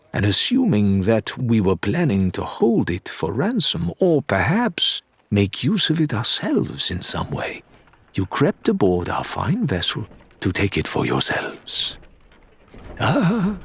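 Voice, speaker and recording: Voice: medium pitch, gravelly, breathy edge, no echo, pitched above the performer's own voice, exaggerated voice. A man voicing a flamboyant pirate crewman with mock-polite, lilting menace for an audiobook. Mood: silky menace, then mock sorrow. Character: Faisal, suave theatrical male pirate crewman, mock-courteous menace.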